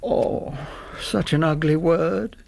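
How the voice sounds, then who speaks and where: An actor with an English accent playing a smug Victorian blackmailer in a radio drama, mock-polite, unctuous and quietly menacing. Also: male voice, fairly high pitch, creaky. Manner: unctuous, mock-polite, mannered drawn-out exclamations. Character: smug, oily male Victorian blackmailer, falsely courteous and menacing.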